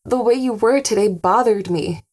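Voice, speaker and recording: Woman acting as a teasing wife in an audio drama, casual and frank, medium pitch. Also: normal speed, a little mellow, natural voice. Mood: concerned. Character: Anna Kat, candid, teasing wife, sparring affectionately with her husband.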